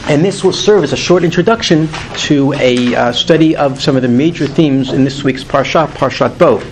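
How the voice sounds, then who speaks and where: A man's voice: fairly high pitch, moderate pace, slight background noise, poor audio. A man in his fifties teaching a weekly Torah class to a group, earnest and emphatic, lifting his voice on key words. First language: not English.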